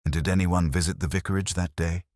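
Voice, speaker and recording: A man voicing a shrewd police inspector in a mystery story, soft and murmuring, with measured, knowing delivery. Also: low voice, steady tone, no room sound, clean studio sound, natural voice. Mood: probing.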